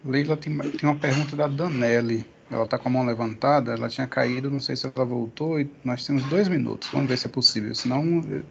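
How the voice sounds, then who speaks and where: A man with a gravelly voice briskly passes the floor to an audience member while keeping an eye on the time. He is on a video call, and his audio is dull with faint noise in the background. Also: mid-range voice, steady tone. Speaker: seminar organizer.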